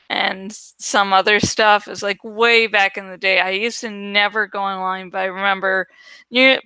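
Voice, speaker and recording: Bright, clear-voiced woman, casual and digressive. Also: medium pitch, dry recording. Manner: informal, digressive. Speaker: host of a book read-aloud show. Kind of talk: casual, rambling fan commentary between dramatic readings.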